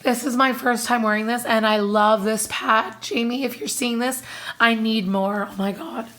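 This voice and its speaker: A woman in her thirties talks casually to her camera, her voice hoarse and husky, still coming back after she lost it. She sits slightly high in pitch and chats in a loose, apologetic way, filling pauses with ums and uhs. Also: steady tone, a bit bright. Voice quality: husky, strained. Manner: chatty, apologetic, many fillers. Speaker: vlogger. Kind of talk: casual monthly haul-style chat to camera.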